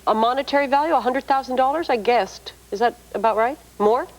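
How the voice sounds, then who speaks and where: A woman hosting a late-1990s daytime TV talk show, chatty and exclamatory and quick to react to her guest. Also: on the high side, poor audio.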